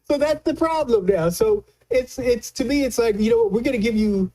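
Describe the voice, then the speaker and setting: A man giving conversational political commentary as a news analyst, colloquial and halting, often repeating words as he explains. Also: extremely high pitch, animated, mellow, rough recording.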